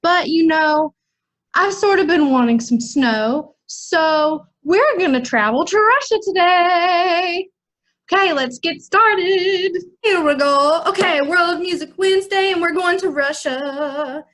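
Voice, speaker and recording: A woman's voice: very high-pitched, slow pace, fairly bright tone. A young woman from the United States, a music teacher giving an upbeat, chatty online lesson to children.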